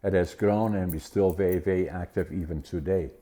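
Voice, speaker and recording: Older Dutch-accented man, dark voice. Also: low-pitched, steady tone, fairly quick. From Netherlands. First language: Dutch dialect. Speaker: guest. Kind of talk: conversational interview.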